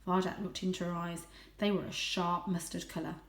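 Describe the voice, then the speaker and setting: Expressive woman storyteller in an echoey room. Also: on the low side, brisk. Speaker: teacher reading aloud. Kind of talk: expressive children's storybook narration.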